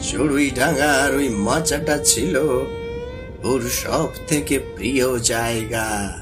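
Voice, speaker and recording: A man playing a bitter, grieving middle-aged village father in an animated story, with a heavy, reproachful delivery. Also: mid-range voice, slow, over music, lightly acted. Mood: sorrowful. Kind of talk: acted dialogue and recounting in animated story.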